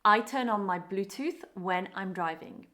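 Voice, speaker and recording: A woman with a British English accent leads a scripted English lesson. Her delivery is clear and upbeat, aimed at learners. Her voice sits at an ordinary middle pitch. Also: measured pace, a bit bright. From Britain. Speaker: English teacher.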